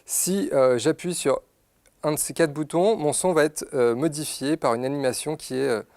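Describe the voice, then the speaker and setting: A man giving an unscripted, methodical product demonstration, pausing to find his words as he explains each step. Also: a little high, a little mellow. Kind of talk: unscripted instructional product demo. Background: synthesizer demo sounds.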